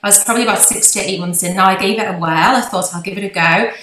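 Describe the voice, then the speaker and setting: A woman's voice: mid-range voice, quick pace, pushed and bright, echoey room, slight background noise, low-quality recording. A woman in her forties with a Liverpool accent, chatting as a guest on a podcast interview and laughing often. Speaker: guest, physiotherapist.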